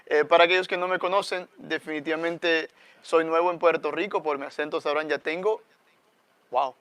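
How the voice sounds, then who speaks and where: A man's voice: on the high side, fairly even intonation, fairly quick, a bit bright, clean tone, no echo. A man with a Salvadoran accent, a guest priest teaching conversationally and reflectively, often adding questioning tags.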